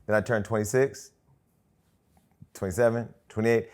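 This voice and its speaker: Low-voiced man hosting a chat. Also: normal speed. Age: 40s. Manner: animated, hyping up his guest. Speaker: talk show host. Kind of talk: casual interview chat.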